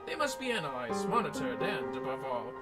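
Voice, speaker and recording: Man acting grand, wordy fantasy game scholar, swooping intonation, pompous and deliberate, music underneath. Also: mid-range voice, big pitch swings, pitched below the performer's own voice, lightly acted. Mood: solemn, expository. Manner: pompous, deliberate, ornate diction. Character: learned, formal archaeologist leading an expedition, grandly wordy. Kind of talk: dramatic reading of fantasy game dialogue.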